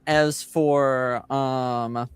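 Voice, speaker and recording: A man running a tabletop game as game master, improvising narration in a halting, thinking-aloud way. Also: medium pitch, steady tone, smooth, faint music behind.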